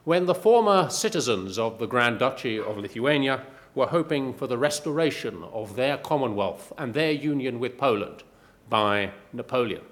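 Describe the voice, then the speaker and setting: A middle-aged male professor giving a prepared, measured talk with dry, wry asides. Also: mid-range voice, expressive, fairly bright tone. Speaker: professor giving a book pitch.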